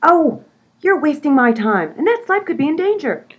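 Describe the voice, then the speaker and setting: Woman playing an exasperated, high-voiced lady sleuth. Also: very animated, normal speed, muffled recording. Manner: exasperated, theatrical. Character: exasperated, determined female amateur sleuth. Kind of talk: comedy radio play acting. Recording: faint background noise.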